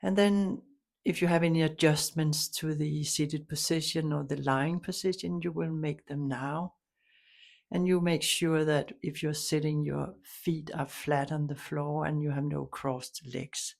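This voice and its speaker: Calm lady doing guided meditation, really slow w long pauses, bit of vocal fry. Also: low voice. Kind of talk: guided meditation, soft instruction.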